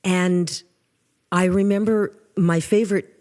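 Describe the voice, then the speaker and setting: An older woman from New with a low voice, telling lively stories as a guest in a casual interview. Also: takes it slowly. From New York. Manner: animated storyteller, restarts often. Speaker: guest musician.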